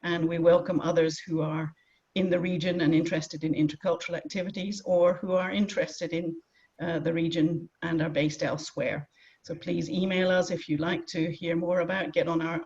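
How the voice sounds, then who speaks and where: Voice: medium pitch, a little mellow. A webinar host introducing the session and the first speaker, talking hesitantly with frequent ums and restarts over a video call. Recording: dull, muffled webinar audio.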